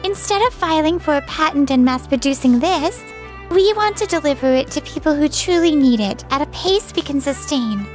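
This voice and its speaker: Woman voicing a very high-pitched earnest businesswoman. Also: lively intonation, normal speed, soft background music. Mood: earnest, polite. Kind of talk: manga dub voice acting.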